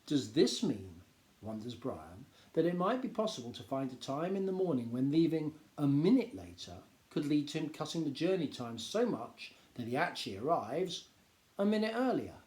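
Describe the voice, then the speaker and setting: A man reading a popular non-fiction book aloud like a teacher, explaining in a measured, expressive way. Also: fairly high pitch. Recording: echoey, roomy sound.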